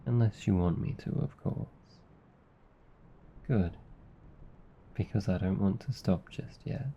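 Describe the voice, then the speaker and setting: A man with an Australian accent playing a gentle, affectionate, protective demon in an intimate, soothing ASMR roleplay. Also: low voice, slow, highs cut off, slight background noise. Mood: tender, teasing. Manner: soft, intimate, soothing, teasing. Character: gentle, affectionate male sleep paralysis demon, protective comforter.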